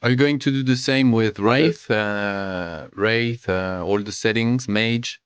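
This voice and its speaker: Game master, male, low and slow, dry close mic. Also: smooth, no echo. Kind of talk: online session-zero chat.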